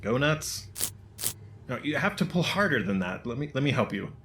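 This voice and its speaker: A man's voice: mid-range voice, sing-song, normal speed, noisy background, close to an everyday voice. A man acting an easygoing, teasing handyman in a romantic comedy radio play, with dry delivery.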